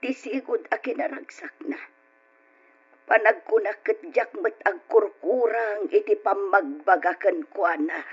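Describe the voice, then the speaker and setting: A woman playing a worried elderly mother and grandmother with a husky, rough voice and Ilocano accent in an old radio drama. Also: medium pitch, unhurried, lo-fi sound, natural voice. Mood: worried, sorrowful.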